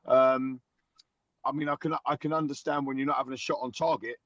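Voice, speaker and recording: A man who is a season-ticket-holding football fan, hoarse from singing, talking excitedly in a casual podcast chat and tripping over quick restarts. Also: mid-range voice, no echo. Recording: dull lo-fi dry sound.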